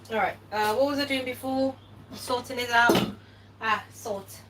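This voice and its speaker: A woman from Ghana talks slowly through her cooking and thinks aloud as she goes. The camera sits a few steps away, so she sounds distant. Clear kitchen noise runs behind her voice. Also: fairly high pitch, steady tone. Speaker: cooking vlogger. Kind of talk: casual cooking commentary. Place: home kitchen.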